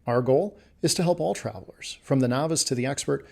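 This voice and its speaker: Gravelly, husky male news host. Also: mid-range voice, brisk, darkish tone. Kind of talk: scripted news narration.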